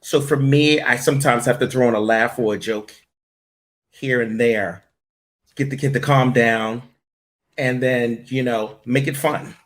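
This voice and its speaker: A man's voice: mid-range voice, fairly even intonation, unhurried. A man, a voiceover audition director, answering interview questions in a conversational way with frequent ums and restarts.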